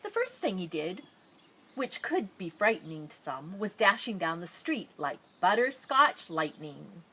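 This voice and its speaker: A woman reading a children's picture book aloud expressively, with drawn-out dramatic phrasing and playful asides. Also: on the low side, sing-song, a bit bright, breathy edge. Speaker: storybook reader.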